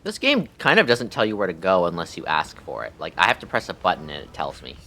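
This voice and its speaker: A man doing casual, profane, jokey livestream commentary while playing a game, thinking out loud. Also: deep voice, sing-song, quick pace.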